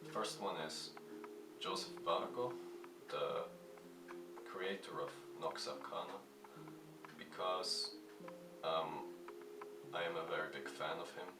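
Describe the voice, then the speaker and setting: Shy, halting man in an echoey room. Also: low voice, steady tone. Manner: shy, halting, many fillers and restarts. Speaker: musician answering fan questions. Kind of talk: hesitant casual talk to camera. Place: at home. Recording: echoey room, mic at a distance, music playing. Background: music.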